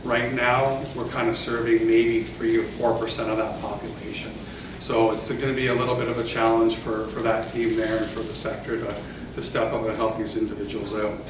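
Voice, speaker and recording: A Canadian man gives a talk in a large conference room, his even, flat delivery full of ums and restarts. He sounds set back from the mic, and the hall's echo and steady noise surround him. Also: fairly low pitch, normal speed, recorded from a distance. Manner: hesitant, many fillers and restarts. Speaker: presenter. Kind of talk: conference presentation with audience Q&A. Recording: echoey hall, voice from off-mic, noisy.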